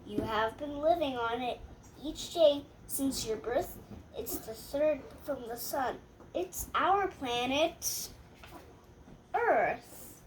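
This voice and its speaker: A young girl with a high voice reads a rhyming picture book aloud. She goes slowly and haltingly, stumbling over words and fixing them as she goes. There is some light noise in the home recording. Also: lively intonation, poor audio. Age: child. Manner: halting read-aloud with stumbles and self-corrections.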